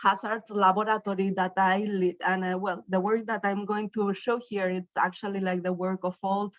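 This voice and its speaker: A woman from northern Spain with a Spanish accent, giving an academic keynote talk as a professor in a somewhat halting, self-repeating way. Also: mid-range voice, little pitch movement, dry recording, muffled recording. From northern Spain, Basque Country. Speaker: university professor, invited keynote speaker. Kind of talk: academic presentation.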